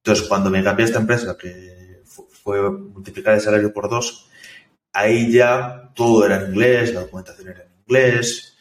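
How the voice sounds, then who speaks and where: A man, a software developer, talking casually in an interview, thoughtful and hesitant, with many restarts. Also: lowish voice, fairly even intonation, normal speed. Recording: echoey room, distant from mic.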